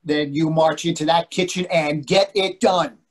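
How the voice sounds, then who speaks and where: A man's voice: on the high side, fairly even intonation, normal speed, lightly acted. A man in his sixties acting in a comic readers theatre play as a dry, commanding management consultant, over a video call. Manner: dry, authoritative. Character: self-assured older management consultant, dryly commanding husband. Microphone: computer microphone on video call.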